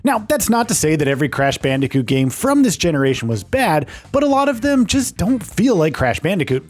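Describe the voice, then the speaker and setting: A man with a fairly high voice narrates a scripted ranking video as its host. His intonation swings up and down in an upbeat presenter style. Soft music plays behind him. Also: big pitch swings, brisk.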